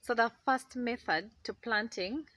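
A young Ugandan woman with a Ugandan accent, chatting excitedly to a phone camera in her outdoor garden. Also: fairly high pitch, a little slow. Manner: excitable, chatty, laughs often. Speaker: gardening vlogger. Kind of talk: casual chat to camera. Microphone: phone camera held close.

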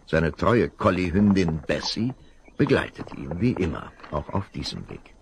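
An older man narrating a children's radio play in a measured storytelling manner. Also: sits low, very animated, creaky, noisy background. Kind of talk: scripted narration.

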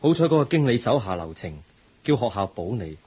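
Radio play: a kindly, earnest teacher, Hong Kong Cantonese, husky voice with animated lilt. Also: male voice, medium pitch, brisk. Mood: lecturing. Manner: lecturing, earnest. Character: patient middle-aged teacher, moralizing and kind.

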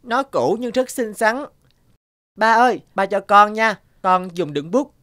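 A woman voicing a kind, thoughtful young schoolboy in an animated cartoon, earnest and musing. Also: medium pitch, a little slow, no room sound, studio quality. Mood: polite, curious. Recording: prominent music under the voice.

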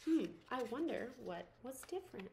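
A young woman reading a children's storybook aloud in an expressive narrating style. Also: on the high side, normal speed, creaky. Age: young adult.

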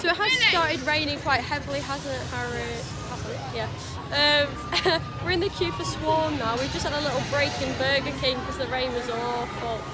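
Girl, late teens, high voice, slightly hoarse, eager theme-park vlogger on a phone mic. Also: moderate pace. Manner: chatty, enthusiastic, asks companion questions. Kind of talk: casual vlog commentary. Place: outdoor theme park, queues and walkways. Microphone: phone camera held at arm's length. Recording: loud music and crowd noise at a theme park.

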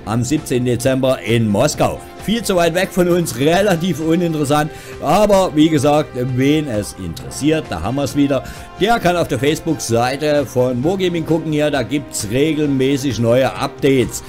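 A man with a slightly raised voice hosts a gaming news show. He talks in a chatty, informal way with wry asides. Soft music plays behind him. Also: on the high side, lively intonation, moderate pace. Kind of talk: casual, tongue-in-cheek news commentary. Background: background music.

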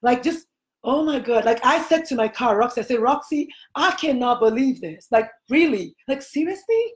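A woman's voice: sits high, lively intonation, moderate pace. A middle-aged woman with a Nigerian accent, hosting a casual interview chat from a small room at home, talking emphatically into a laptop webcam. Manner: emphatic, gestures broadly while talking. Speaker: podcast host.

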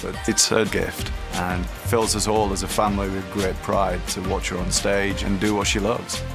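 A middle-aged man speaks casually in an interview. His voice is low and gravelly and keeps to an even line, with music playing clearly behind it. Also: moderate pace. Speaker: contestant's father. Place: TV studio set.

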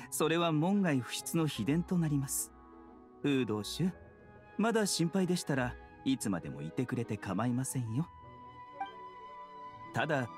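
A man playing a gentle, secretive doctor in a Japanese anime game, speaking with composed, formal courtesy. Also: fairly high pitch. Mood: polite, sly.

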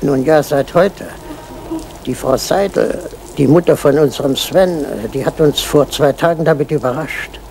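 An actor playing a chatty neighbor talking slowly in a radio crime drama. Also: male voice, fairly high pitch, darkish tone, a little hiss or hum, low-quality recording.

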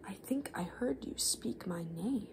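A haughty storybook goddess, read by a woman, speaks softly and coolly. Measured words slip toward a whisper, with a faint hiss in the room. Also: medium pitch, animated, creaky, poor audio. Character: proud, icy Greek goddess challenging a rival.

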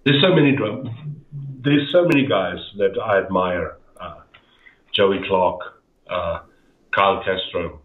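Low-voiced Afrikaans-accented man on a tinny call. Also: slow. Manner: rambling, repeats words, frequent "uh" fillers. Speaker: guest. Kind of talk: casual interview chat. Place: dim home room. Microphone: headset on a video call. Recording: echoey tinny video-call audio.

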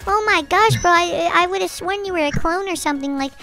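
Squeaky voice-changed little kid gamer. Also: male voice, fairly quick, sharp tone, exaggerated voice. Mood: taunting. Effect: pitch-raising voice changer. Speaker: video game streamer. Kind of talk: excited multiplayer game chatter.

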